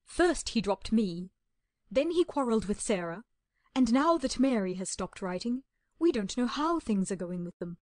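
A woman reading the part of a weary older spinster lady in an audiobook, speaking slowly and plaintively. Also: fairly high pitch, sing-song, no room sound, clean studio sound. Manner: plaintive, slow and heartfelt.

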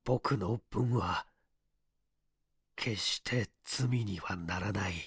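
A man voicing a weak, gentle dying man with a hoarse, breathy voice in a story reading. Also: on the low side, no echo, studio quality, pitched below the performer's own voice, full character voice. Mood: forgiving, faint. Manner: gasping, halting, faint.

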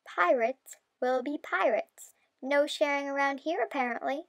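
A woman's voice: sits high, a little slow. A woman voicing a cheerful young dog who narrates her life on a sailboat in a bubbly, punny way, with pirate talk. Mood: playful. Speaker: vlog narrator. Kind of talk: scripted playful narration. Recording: music playing under the voice. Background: music.

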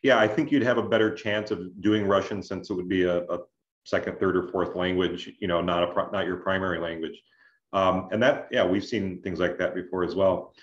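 A man explaining things as an adviser in an online information session, in a measured, conversational way with check-in questions. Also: low voice, fairly even intonation. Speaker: scholarship adviser, presenter. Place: indoors on a video call. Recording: roomy, dull video-call audio.

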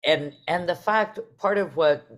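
A woman's voice: fairly low pitch, a little slow. A middle-aged woman hosting a casual panel conversation, often interrupting her own sentences as she goes. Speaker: host, theatre artistic director. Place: indoors, video call. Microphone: video call microphone.

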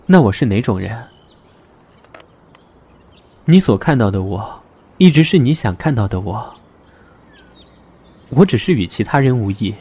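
A man's voice: medium pitch, expressive, measured pace, pauses often, warm tone, slightly rough. A man acting in an audio drama as a weary, conflicted young man, restrained and introspective. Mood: quiet, reflective. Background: background music.

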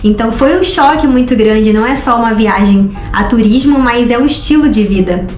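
Higher-voiced young carioca woman in an echoey room. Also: a little fast, darkish tone. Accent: Rio de Janeiro Brazilian Portuguese. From Rio de Janeiro, Brazil. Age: 20s. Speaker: vlogger, co-host of a couple's travel channel. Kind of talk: casual storytelling to camera. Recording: roomy echo, music behind.

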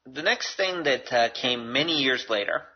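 A man's voice: medium pitch, lively intonation, normal speed, breathy edge, dull audio. A middle-aged Canadian man hosting a casual solo podcast, chatty and self-deprecating, given to rambling asides. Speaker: podcast host.

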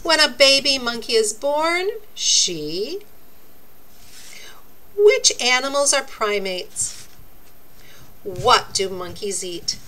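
A woman reading a children's nonfiction book aloud, with deliberate, expressive, teacherly phrasing. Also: fairly high pitch, low-quality recording. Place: indoors, book laid open before a camera.

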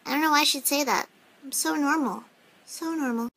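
A woman in her twenties with a very high, childlike voice, joking around in short comedy clips. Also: moderate pace, fairly bright tone, poor audio. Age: 20s. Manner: playful and teasing. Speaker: vlogger.

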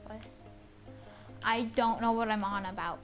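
A woman's voice: medium pitch, fairly even intonation, a little slow, soft background music. A woman chatting casually and playfully over gameplay as a channel host, rambling informally.

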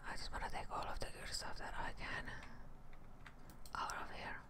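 A young woman gives hushed, breathy ASMR commentary while playing a game, halting as she goes and swearing casually, close to the microphone. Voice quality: breathy, unvoiced whisper. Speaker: streamer playing a horror game. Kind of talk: whispered ASMR gameplay commentary. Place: indoors at a desk, close to the microphone. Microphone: desk microphone held close at the mouth.

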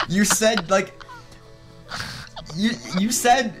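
A man's voice: high voice, drawn-out words, loud music behind the voice. A man chatting casually as a gaming co-host, insistent and argumentative with his friends. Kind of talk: casual game race banter.

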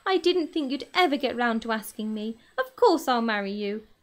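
A woman voicing an excitable, gushing grown woman who adores her pet tortoise, with a shrill, excited manner. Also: moderate pace, lightly acted. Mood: delighted.

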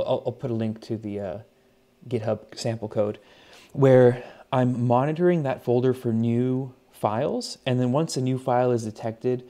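A man's voice: mid-range voice, warm tone. A man giving a casual, unscripted tech demo in a conversational, hesitant way, as a hobbyist presenter at a desk at home. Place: small indoor home desk setup.

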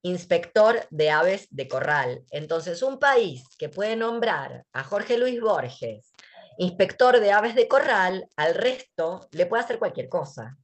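A woman's voice: lowish voice, big pitch swings, slow pace. An Argentine woman speaking casually as a guest, blunt, sarcastic and emphatic, over a headset on a video call from a dim room. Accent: Argentine Spanish. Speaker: guest, writer and thinker.

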